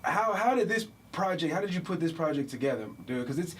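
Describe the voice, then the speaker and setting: A man with a high, light voice hosts a radio interview from the studio. He keeps it hyped and loose, tossing out slang and quick reactions. The talk is casual banter between tracks. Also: lively intonation, distant mic. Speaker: radio host.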